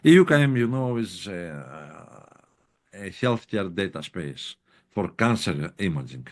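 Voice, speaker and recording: A man's voice: sits low, sing-song, unhurried, a little mellow. A man answering a question in an online seminar, hesitant and full of fillers, on a video call. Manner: hesitant, many fillers and "you know". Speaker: presenting researcher.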